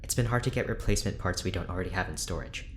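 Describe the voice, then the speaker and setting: Man acting a very low, guarded neurologist. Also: racing, a little hiss or hum, natural voice. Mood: irritable. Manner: hesitant, formal, clipped when defensive. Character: guarded, defensive male neurologist, stiff and weary. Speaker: head neurologist at a research station. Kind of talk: naturalistic audio drama acting.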